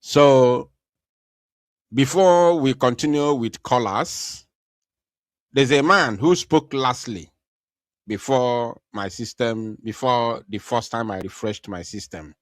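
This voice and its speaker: A man's voice: mid-range voice, slow pace, dry recording. Man, talk show host, earnest, repetitive, hesitant fillers, dry close mic. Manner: earnest, repeats himself, many hesitations. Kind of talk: live online talk show commentary. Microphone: computer mic over internet call.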